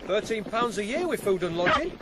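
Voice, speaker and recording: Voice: mid-range voice, very animated, fairly quick, heavy background noise, natural voice. An actor playing a friendly, cheeky young farm lad with a Northern English accent, blunt and easygoing in a radio drama. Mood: amiable. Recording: loud farmyard ambience behind.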